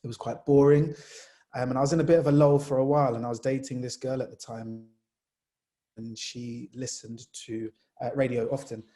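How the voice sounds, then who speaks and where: Young guy from jamaica, journalist, mellow tone, tells stories with lots of ums and energy. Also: mid-range voice, a little fast. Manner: animated storyteller, many fillers. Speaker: sports journalist. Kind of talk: casual panel talk.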